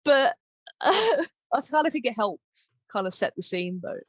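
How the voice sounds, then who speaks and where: A woman's voice: fairly high pitch, big pitch swings, measured pace, no room sound. A woman hosting a casual behind-the-scenes chat in a giggly manner. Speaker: podcast host, audio drama maker.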